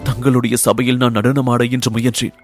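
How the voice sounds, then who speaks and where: A man's voice: medium pitch, flat delivery, moderate pace, faint music behind, close to an everyday voice. A man voicing a devoted, humble woman temple dancer, speaking in earnest, poetic and pleading lines for an audiobook.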